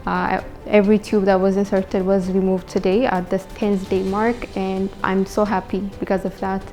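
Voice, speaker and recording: A young woman speaks in an Ethiopian accent, telling her own story as a patient. Her voice is mellow and relaxed, with very even pitch. Soft music plays behind her. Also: normal speed. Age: young adult. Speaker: patient giving testimonial. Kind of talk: personal testimonial to camera.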